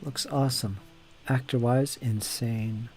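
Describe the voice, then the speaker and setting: A man's voice: mid-range voice, steady tone, slow, darkish tone, faint music behind. A man hosting a casual live stream while painting miniatures, chatting with viewers by name and muttering to himself as he works. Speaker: hobby streamer.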